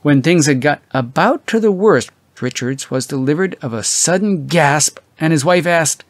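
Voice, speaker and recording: A man reading literary fiction as an audiobook narrator, in a measured, dramatic storytelling manner with drawn-out emphasis. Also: fairly high pitch, lively intonation, no echo.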